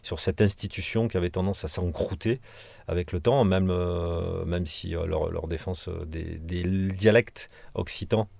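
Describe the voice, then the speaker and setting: Male singer from Provence, interviewee. Low voice, hesitant and digressive, close dry sound. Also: steady tone, unhurried, vocal fry, a little mellow, no echo. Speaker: punk band singer being interviewed. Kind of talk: casual interview talk.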